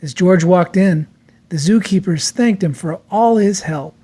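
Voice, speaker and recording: A storyteller reads a picture book aloud for children. The voice sits at a middle pitch, and the delivery is expressive and theatrical, made for storytime. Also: unhurried, slightly rough. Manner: expressive, acts out character lines.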